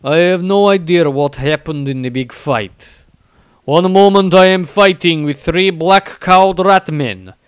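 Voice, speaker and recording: A man's voice: sits high, unhurried, clean tone, dry recording, studio quality. A man voicing a baffled, blunt male fantasy fighter telling his storybook diary.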